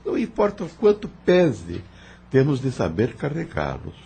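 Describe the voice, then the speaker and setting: Spirit mentor, male actor: solemn, formal, patient teacher, heavily rasped husky voice, expressive intonation, audio drama. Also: medium pitch, normal speed, exaggerated voice. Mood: solemn, instructive. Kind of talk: audio novel acting.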